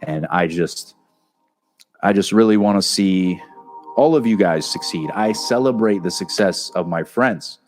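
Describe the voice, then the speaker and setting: A man's voice: deep voice, measured pace, darkish tone, faint music behind. A male comedian hosting his own show, talking earnestly in a casual, rambling way with many restarts. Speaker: comedian and host. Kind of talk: casual solo talk to camera.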